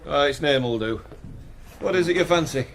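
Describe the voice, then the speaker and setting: An actor playing a blunt, teasing middle-aged betting-shop punter with a Northern English accent in a radio drama. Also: male voice, on the high side, expressive, normal speed, a bit bright, slight background noise. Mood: teasing, curious. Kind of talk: naturalistic British radio drama acting.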